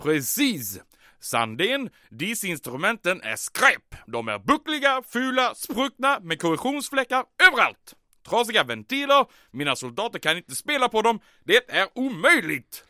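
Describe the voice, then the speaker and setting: A man's voice: high voice, very animated, pushed and bright, no echo, studio quality, full character voice. A man playing a pompous, easily offended German military commandant with a German accent in an old-time radio comedy drama. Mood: aggrieved. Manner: declamatory, peppering speech with German phrases.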